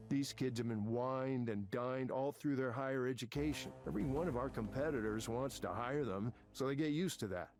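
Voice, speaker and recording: An actor as a bitter, arrogant tech veteran, music behind. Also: male voice, mid-range voice, close to an everyday voice. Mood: scornful. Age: middle-aged. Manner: combative, profane, sarcastic. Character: angry veteran male tech manager, arrogant, bitter, ranting. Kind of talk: visual novel game voice acting. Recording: game audio with music behind.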